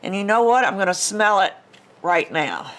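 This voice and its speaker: A woman's voice: medium pitch, lively intonation, normal speed. An older woman with a congested, stuffy nose, chatting casually and rambling a little as she unboxes a craft kit at home on her camera mic. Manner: chatty and rambling, with restarts and small laughs. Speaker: vlogger unboxing a craft subscription box.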